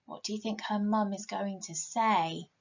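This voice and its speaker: A woman's voice: mid-range voice, a little slow, husky, highs cut off. A woman, a primary teacher reading a story to young children and asking them questions. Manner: expressive, addressing young children. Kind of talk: children's story read-aloud with questions to listeners.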